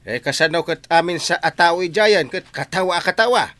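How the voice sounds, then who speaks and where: A man's voice: on the high side, moderate pace, bright, forceful tone, dry recording. A man playing a bossy, exasperated village captain in a comedy skit, scolding emphatically. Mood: stern. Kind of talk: comedy skit acting in a Philippine language.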